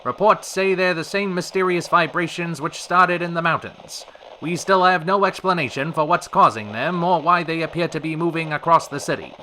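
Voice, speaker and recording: A man's voice: on the high side, moderate pace, sharp tone, a little hiss or hum, poor audio. A man playing a showy 1930s radio show host with a theatrical announcer delivery. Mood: showy, polished. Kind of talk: 1930s radio broadcast acting.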